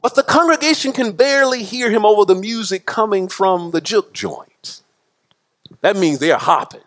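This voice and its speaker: A middle-aged man preaches in a church sanctuary, his voice sitting high for a man. He builds passion through repetition and gestures broadly at the pulpit, rising loud and falling soft in turn. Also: animated, normal speed. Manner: impassioned preaching with repetition and wide swings in pitch and loudness. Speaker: pastor. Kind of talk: sermon.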